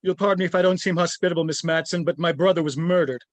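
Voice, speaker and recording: Man as grieving, weak-willed gentleman, highish voice. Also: steady tone, fast, no echo, close to an everyday voice. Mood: grieving, stiff. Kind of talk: retro radio detective drama.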